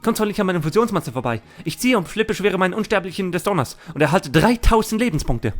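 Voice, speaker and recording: Man acting a high-pitched cocky sneering card duelist. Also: sing-song, fast, faint music behind. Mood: gloating. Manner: taunting, boastful. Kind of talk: anime fan audio drama.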